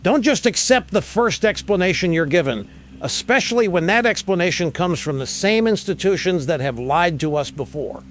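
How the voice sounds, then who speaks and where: A man's voice: sits high, animated, normal speed, a little hiss or hum. A man playing an earnest, distrustful skeptic, speaking insistently as a talk show guest in an audio drama.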